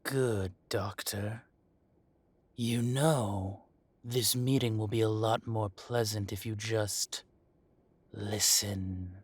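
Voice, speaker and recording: A man playing an arrogant dragon mafia boss in an audio roleplay, speaking deliberately with mock politeness and quiet threat. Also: fairly low pitch, steady tone, breathy edge, no echo. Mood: coolly menacing. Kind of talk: scripted roleplay audio drama monologue.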